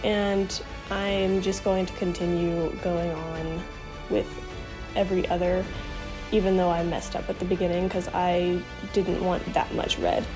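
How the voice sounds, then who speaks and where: A woman's voice: on the low side, unhurried, music in the background, poor audio. A young woman with a stuffy voice from being sick, casually talking through her coloring in a rambling voiceover. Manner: relaxed, rambling with many ums. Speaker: art channel host. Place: home room, indoors. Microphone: camera mic, then voiceover.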